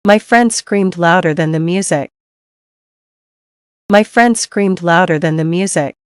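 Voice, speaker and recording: A woman reads short English dialogue lines for learners, each one twice, slow and distinct. Her voice sits low and sounds smooth and resonant. The recording is close and dry. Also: no echo. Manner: slow, clear, each line read twice. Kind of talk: scripted dialogue reading for learners.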